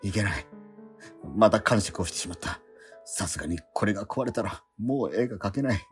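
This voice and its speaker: A man's voice: fairly low pitch, lively intonation, fairly quick, faint music behind, lightly acted. An actor playing a poor, struggling male painter in a radio drama, narrating his days and muttering wry, self-mocking asides to himself. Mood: frustrated. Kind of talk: radio drama inner monologue.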